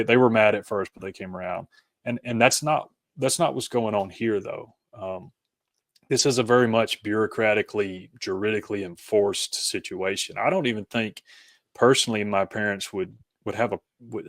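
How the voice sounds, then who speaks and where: Guy being interviewed, kinda deep voice, dry audio, thoughtful and a bit halting as he talks things through. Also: dry recording. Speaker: interview guest, author. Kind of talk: reflective personal conversation.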